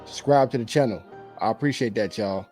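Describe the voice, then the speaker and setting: Slightly hoarse man with a mellow voice. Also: on the low side, brisk, soft background music. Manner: loose, rambling, conversational. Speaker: dating commentary vlogger. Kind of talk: casual opinionated commentary.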